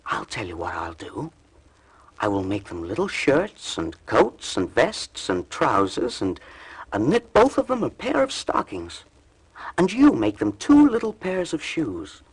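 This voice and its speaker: A man's voice: mid-range voice, huge pitch swings, measured pace, breathy edge, heavily acted, low-quality recording. Man reading as an earnest, grateful storybook wife. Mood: grateful, eager. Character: grateful, kindly shoemaker's wife planning gifts.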